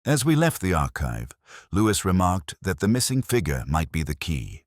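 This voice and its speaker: Male narrator for detective fiction: extremely low voice, lively intonation, measured literary delivery, close dry sound. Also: dry recording. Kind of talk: scripted first-person detective story narration.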